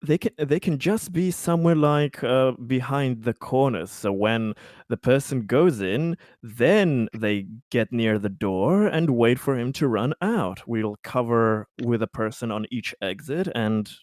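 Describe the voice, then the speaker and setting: A man's voice: medium pitch, animated, unhurried, darkish tone, no room sound, clean studio sound. A man chatting casually as a player planning moves in a tabletop game.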